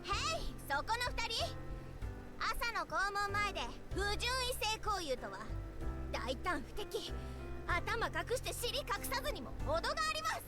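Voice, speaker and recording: A woman voicing a lively, earnest anime schoolgirl in a visual novel, with exaggerated, emphatic delivery. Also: very high-pitched, a little fast, heavily acted. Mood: brash, earnest. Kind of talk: Japanese visual novel voice acting. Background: loud game music.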